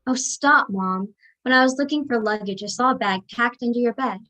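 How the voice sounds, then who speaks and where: An actress playing a young college-bound daughter, wounded but resolute, in a staged play reading. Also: female voice, fairly high pitch, a little fast, pushed and bright, rough recording. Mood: reassuring, knowing.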